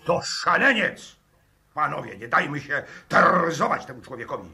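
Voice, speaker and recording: An actor playing an indignant, domineering, hot-headed professor in a 1960s radio drama, emphatic and scornful. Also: male voice, a little high, sing-song, normal speed, creaky, bright, forceful tone, exaggerated voice. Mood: outraged.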